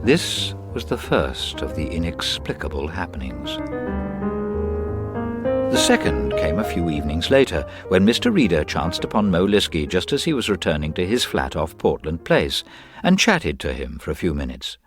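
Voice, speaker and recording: A man's voice: deep voice, big pitch swings, moderate pace, over loud music. A man reading scripted, dramatic narration of a crime story.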